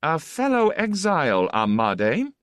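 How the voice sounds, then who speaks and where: A man's voice: fairly high pitch, huge pitch swings, a little slow, clear, resonant tone, dry recording, studio quality, voice pushed up. A man voicing a flippant, self-assured count with an urbane, ironic, teasing manner in an audiobook. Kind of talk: audiobook character dialogue.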